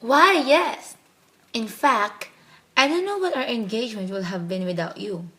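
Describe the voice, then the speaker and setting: A lovestruck young daughter in a radio drama. Her voice is fairly high, and she comes across as eager, naive and headstrong. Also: a little slow, sharp tone. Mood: bright, delighted. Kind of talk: radio play acting.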